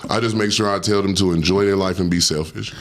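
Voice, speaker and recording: A middle-aged man talks on a casual podcast. His voice sits a little low, and he lectures in steady advice mode, punching points with "right?" and "you feel me?". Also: quick pace, a crowd in the background. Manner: preachy, advising with repeated "right?". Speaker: co-host.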